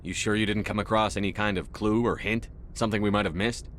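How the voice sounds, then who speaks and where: A man playing a steady, no-nonsense military commander in a video game, terse and businesslike. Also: on the low side, quick pace, a little hiss or hum, lightly acted.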